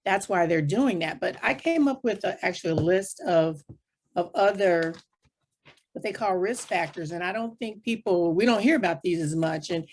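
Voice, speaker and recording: A woman speaking as a panelist, explaining things point by point in plain, conversational terms. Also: on the low side. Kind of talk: explanatory panel discussion talk.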